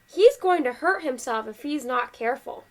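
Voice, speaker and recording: A woman's voice: high voice, lively intonation, normal speed, darkish tone. A woman voicing skeptical, disapproving female farm animals in a picture book.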